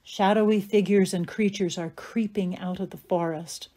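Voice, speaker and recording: A woman narrating stage directions from a script in a measured, matter-of-fact way. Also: medium pitch, steady tone, dark tone.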